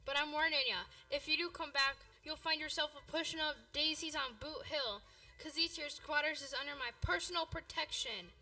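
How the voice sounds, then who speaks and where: Eager high-voiced young boy, played by a woman. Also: normal speed, pushed and bright, recorded from a distance, faint music behind. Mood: bold, heroic. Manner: earnest, boyish. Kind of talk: radio play acting.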